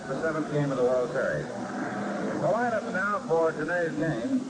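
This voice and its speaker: An American man giving 1950s baseball radio commentary in florid, dramatic phrases with deliberate pauses, on a vintage broadcast recording. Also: on the high side, very noisy. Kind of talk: measured, grandiloquent 1950s sports radio commentary.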